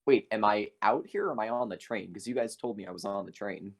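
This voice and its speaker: A man's voice: fairly low pitch, a little fast. A man playing a tabletop roleplaying game, speaking in casual chat.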